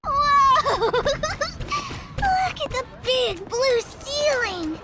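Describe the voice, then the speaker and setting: A woman's voice: extremely high pitch, very animated, drawn-out words, pushed and bright, slight background noise, full character voice. A woman voicing a small, wide-eyed, cheeky child in a video game, exclaiming eagerly. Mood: excited wonder.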